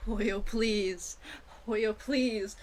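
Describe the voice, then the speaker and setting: A woman streaming a video game with casual, excitable, gushing and sweary live commentary. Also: mid-range voice, extremely slow. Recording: music behind the voice. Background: video game music.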